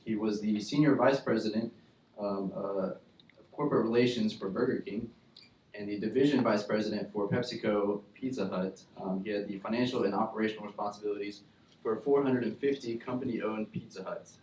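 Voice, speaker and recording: A man's voice: fairly low pitch, steady tone, a little fast, a little mellow, a little hiss or hum. A man reading out a guest speaker's biography at a college event, hesitant and full of fillers. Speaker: student presenter reading an introduction. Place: lecture hall. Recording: echoey hall from a distance.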